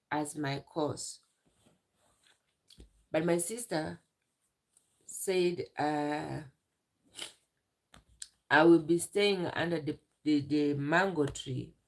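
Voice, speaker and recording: Tearful young woman streamer, unhurried delivery with a gravelly edge. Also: low-pitched. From Uganda. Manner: halting, repeats herself. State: tearful, emotional. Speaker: livestreamer. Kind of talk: emotional live stream talk.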